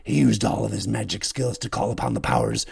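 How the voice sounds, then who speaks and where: A man voicing a dying old male servant who gasps and croaks in a bitter whisper. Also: on the low side, sing-song, a little fast, darkish tone, full character voice. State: dying, gasping.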